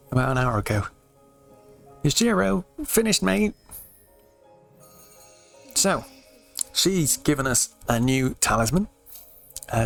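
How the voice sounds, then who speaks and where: Croaky British man, casual gaming commentary. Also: mid-range voice. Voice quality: slightly croaky. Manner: laid-back, explanatory, informal. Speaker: let's play host.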